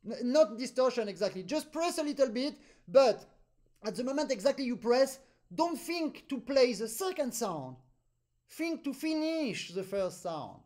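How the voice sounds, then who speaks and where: A man with a French accent, a native French speaker, teaching an accordion master class over a video call, animated and pausing deliberately between phrases. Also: very high voice. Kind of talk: online master class instruction.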